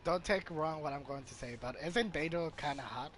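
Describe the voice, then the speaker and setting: A man's voice: on the high side, normal speed. A man giving casual, off-the-cuff gameplay commentary as he plays. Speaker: gamer. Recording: loud game music over the voice. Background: game music.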